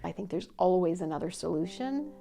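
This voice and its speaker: A woman giving deliberate, considered interview answers. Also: lowish voice, expressive, warm tone. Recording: music playing clearly behind.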